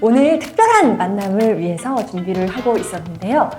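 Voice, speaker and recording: A woman in her forties hosting a book talk, lively and emphatic, laughing often. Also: mid-range voice, brisk, over music. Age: 40s. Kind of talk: warm conversational interview.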